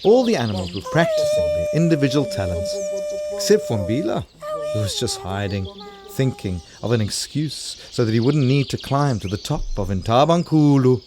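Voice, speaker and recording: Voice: medium pitch, huge pitch swings, normal speed. A narrator with a South African accent telling a scripted folktale for young children. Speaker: storyteller narrator.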